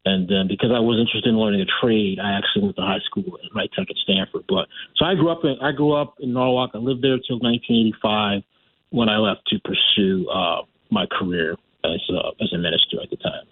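A man's voice: fairly low pitch, expressive, fairly quick, husky, no room sound. A middle-aged man speaking as a radio guest over the phone, talking casually, often restarting and correcting himself. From United States. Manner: rambling with restarts and self-corrections. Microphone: telephone. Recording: dry, tinny phone line.

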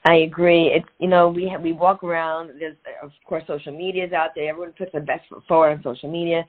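An earnest, middle-aged woman tells her own story in short, reflective phrases with pauses. She comes through a thin, phone-like line that cuts away the fullness of her voice. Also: low voice, little pitch movement, breathy edge. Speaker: guest, author and transformational coach. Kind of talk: candid personal story in a podcast interview.

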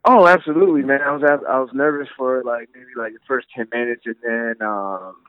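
This is a man in his thirties who calls in to a podcast and tells his stories in a relaxed, easygoing way. His voice comes through a dull, muffled phone line, and the sound is dry with no room around it. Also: mid-range voice, fairly quick, clear, resonant tone, no room sound. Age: 30s. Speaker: podcast guest on a phone call. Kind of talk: casual phone chat.

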